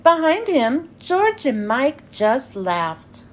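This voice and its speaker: High-pitched, animated woman reading storybooks. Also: very slow. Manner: animated, clearly enunciated storytelling. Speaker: children's read-aloud host. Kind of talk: expressive storybook narration for young children.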